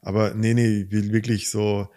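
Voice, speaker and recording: A man with a fairly low voice, co-hosting a relaxed podcast chat. He reflects as he speaks, working his thoughts out aloud. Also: moderate pace. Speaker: podcast co-host. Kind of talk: casual conversation about relationships.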